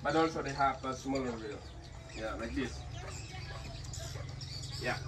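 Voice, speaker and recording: A man's voice: medium pitch, a little slow. A man with an Indonesian accent, a local tour guide who casually explains pottery making to tourists, joking and apologizing. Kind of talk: guiding tourists, explaining a craft. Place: outdoors in a pottery village. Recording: distant outdoor camera sound, rooster noise. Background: roosters and village ambience.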